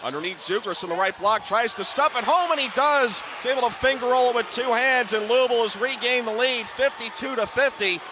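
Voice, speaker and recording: A middle-aged man calling live basketball on radio in clipped running descriptions that can rise to excited calls. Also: very high-pitched, a little fast, few pauses, noisy background. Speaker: play-by-play basketball announcer. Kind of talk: live radio sports commentary. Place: courtside in a basketball arena.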